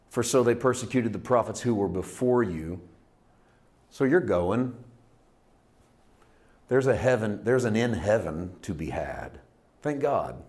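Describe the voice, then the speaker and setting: A man preaching a sermon, building with repeated phrases and dropping to hushed, intimate passages. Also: lowish voice. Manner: emphatic, repetitive preaching cadence, swings from loud to hushed. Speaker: pastor.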